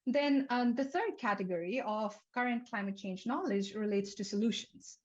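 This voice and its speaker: A young woman from Nepal giving an academic seminar talk, with a measured, list-like delivery and frequent fillers. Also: fairly high pitch, darkish tone. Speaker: seminar speaker, climate researcher. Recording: slightly dull lo-fi lecture audio.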